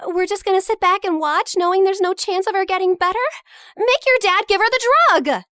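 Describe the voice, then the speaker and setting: A young woman playing a blunt, demanding, hot-tempered teenage girl in an animated story. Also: very high-pitched, brisk, dry recording, clean studio sound. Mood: angry.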